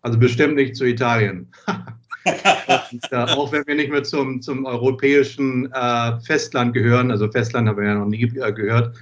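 A man from England who grew up in Germany, answering interview questions conversationally and pausing and repeating words as he thinks. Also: mid-range voice, fairly even intonation, muffled recording. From born in England, grew up in Wiesbaden, Germany. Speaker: podcast guest.

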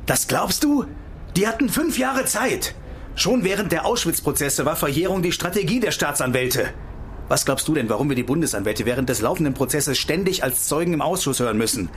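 A man's voice: on the high side, lively intonation, a little fast, slight background noise, close to an everyday voice. An actor playing an agitated, indignant insider and father in a naturalistic radio drama, speaking insistently and with sarcasm. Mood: outraged.

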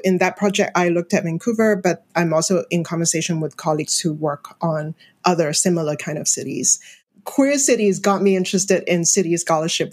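Woman from hong kong, low husky voice, thinks aloud in an interview, lots of restarts. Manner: thoughtful, restarts and hedges with "you know". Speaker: film studies scholar, interview guest. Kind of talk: academic interview conversation.

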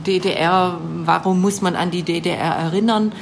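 A woman's voice: lowish voice, fairly even intonation, moderate pace, a little hiss or hum. A woman from Baden-Württemberg with a Swabian accent, a shop owner answering interview questions hesitantly with many fillers.

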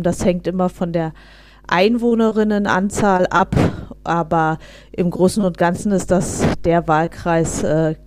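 A woman's voice: on the low side, a little mellow, no room sound. A woman in her thirties from Berlin, answering conversationally as an interview guest and local politician. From Berlin, Germany.

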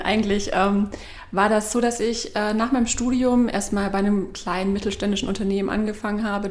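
A woman in her thirties from Swabia, a startup co-founder explaining her work at length in a measured way during an interview. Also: mid-range voice, darkish tone, roomy sound. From Swabia, Germany. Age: 30s. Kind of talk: interview answers.

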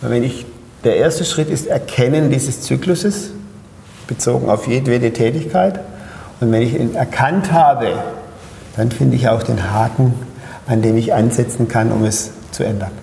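A man's voice: medium pitch, a little slow, creaky, mellow, roomy sound, long reverb tail, slight background noise. A man with a Swabian accent explaining step by step as an interview guest, tagging his points with "ja?". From Pforzheim, Germany. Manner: explains step by step with frequent "ja?" tags. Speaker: guest speaker and coach.

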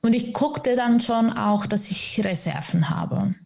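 Slow, husky woman. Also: on the high side, a little mellow. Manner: open, reflective, self-mocking. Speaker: guest. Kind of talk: candid personal interview talk.

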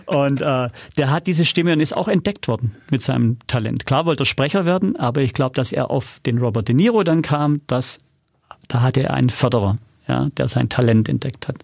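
Male interview guest, casual talk with a creaky, gravelly edge, recorded dry. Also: medium pitch, brisk, darkish tone, no echo. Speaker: podcast guest, audio drama producer.

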